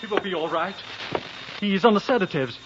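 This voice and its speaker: An actor playing a cornered foreign impostor with stilted, formal phrasing in a 1940s radio drama. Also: male voice, high-pitched, a little fast, natural voice. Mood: defiant, fearful. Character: shifty foreign male impostor, cornered and pleading. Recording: muffled 1940s radio, faint noise.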